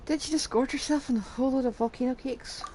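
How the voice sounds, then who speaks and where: A woman's voice: high-pitched, fairly even intonation, fast talker, very noisy. A woman giving casual, wry, offhand live commentary as she streams a game. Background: game music.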